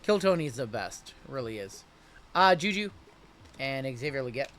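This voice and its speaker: A man's voice: medium pitch, big pitch swings, moderate pace, fairly bright tone, a little hiss or hum. A man in his late thirties hosting a live card break, chatting casually with viewers while rattling off player names from each pack. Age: 30s.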